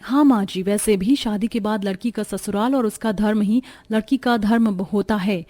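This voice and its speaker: A woman's voice: medium pitch, normal speed, warm tone, soft background music, natural voice. A woman voicing an eager, obedient young newlywed daughter-in-law, respectful and earnest, in a cartoon story.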